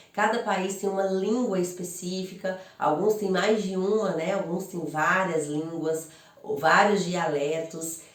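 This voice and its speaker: Brazilian woman explaining, from far off. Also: fairly low pitch. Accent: Brazilian Portuguese. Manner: friendly, chatty, uses rhetorical questions. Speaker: couples psychologist. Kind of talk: informal explanatory talk to camera. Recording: roomy echo, voice from far off.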